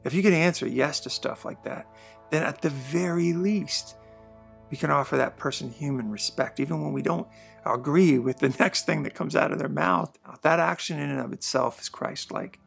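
A man in his forties giving an earnest, conversational monologue to camera about faith, shifting between emphatic bursts and quiet asides. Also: on the high side, moderate pace, gravelly, faint music behind. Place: dark studio backdrop, indoors.

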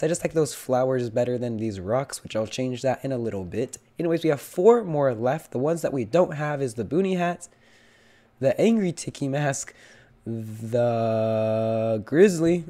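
A young man with a hoarse, cracking voice from illness, chatting casually as he opens loot boxes and pleads for better luck. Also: medium pitch, mellow. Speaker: gaming channel host. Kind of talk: casual game commentary.

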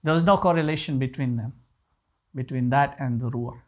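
A man's voice: mid-range voice, moderate pace, darkish tone. A man in his thirties whose first language is Kutchi, teaching a class from a small room and explaining things with many restarts. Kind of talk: online class lecture answering questions. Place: small indoor living room.

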